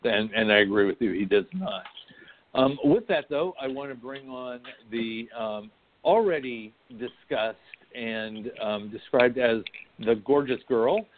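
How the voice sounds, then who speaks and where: A man hosting an interview show, easing into each question with ums and small restarts. His pitch is mid-range. The audio is narrow and phone-like, with a faint hiss underneath. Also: measured pace. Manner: hesitant, many ums. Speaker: host. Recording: tinny phone-like line, faint noise.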